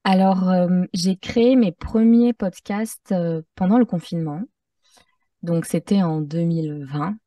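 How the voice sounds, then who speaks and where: A thoughtful woman guest on a podcast, her mellow voice picked up a little away from the microphone in a dry room. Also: lowish voice, unhurried, no echo, recorded from a distance. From Mexico-born, French-Mexican. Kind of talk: conversational interview.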